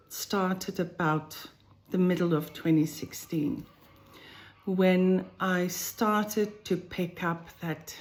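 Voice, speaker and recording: A woman with a South African accent, telling her own health story slowly and thoughtfully, with many pauses. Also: fairly low pitch, a little mellow. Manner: slow, thoughtful, pausing between phrases. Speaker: vlogger sharing a personal health story. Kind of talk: personal first-person account to camera. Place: home on a farm, roosters crowing outside.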